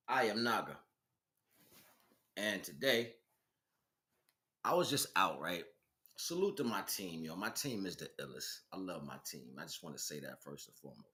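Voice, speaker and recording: A man with a deep voice and a hard, bright edge hosts a casual livestream monologue. He talks in an emphatic, colloquial, streetwise manner, pressing his opinions firmly. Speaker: host of spiritual livestream.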